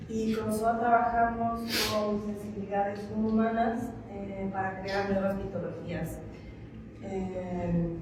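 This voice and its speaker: An Ecuadorian woman calmly introduces her collective's work, pausing often on fillers. The voice comes from a distance in a reverberant room with clear noise around it. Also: mid-range voice. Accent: Ecuadorian Spanish. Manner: calm, hesitant, with fillers. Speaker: artist on a panel. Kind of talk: brief self-introduction. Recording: echoey room, far from mic, clear noise.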